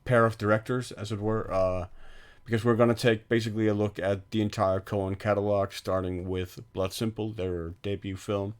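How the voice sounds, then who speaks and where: A man's voice: on the low side, flat delivery, moderate pace, clean tone. A man co-hosting a film podcast, talking casually and hesitantly, with frequent ums and restarts.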